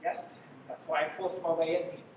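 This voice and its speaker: A woman's voice: fairly even intonation, moderate pace. A woman playing a polite, innocent young man on the run in a live radio play. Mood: urgent. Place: school live stage performance. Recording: roomy, distant mic, faint rumbling noise.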